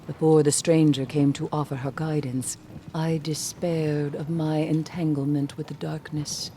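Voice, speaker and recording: Solemn woman mentor, game narration, dark mellow tone, slow measured pace, composed. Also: sits low, flat delivery, slight background noise. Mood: solemn warning. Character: grave, wise female mentor, composed and cautionary. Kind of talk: video game narration, acted.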